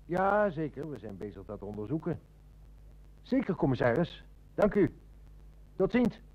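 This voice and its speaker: Seasoned, mellow-voiced police inspector. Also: male voice, mid-range voice, poor audio. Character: seasoned, confident police inspector trusting his instinct. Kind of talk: Dutch radio play acting.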